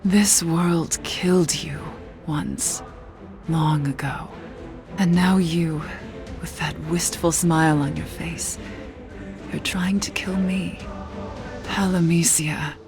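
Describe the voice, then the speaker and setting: An actress playing a wistful, guarded witch in a video game, with a soft, measured, melancholy delivery. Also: sits low, fairly even intonation, creaky, music in the background, heavily acted. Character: wistful, guarded witch haunted by lost love. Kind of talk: dramatic video game voice acting.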